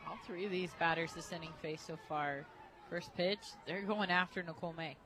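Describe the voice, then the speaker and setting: An American woman giving conversational color commentary on a softball radio broadcast, as an analyst. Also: fairly low pitch, steady tone, brisk, poor audio. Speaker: color analyst, former player. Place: stadium radio booth, open to outdoor noise. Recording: clear outdoor ballpark noise.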